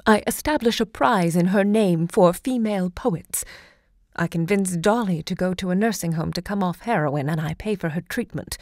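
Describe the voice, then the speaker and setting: An actress playing a passionate, proud literary hostess and lover, narrating an audio drama with dramatic, deliberate delivery. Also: female voice, fairly low pitch, a little mellow, no echo, natural voice. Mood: resolute, grand.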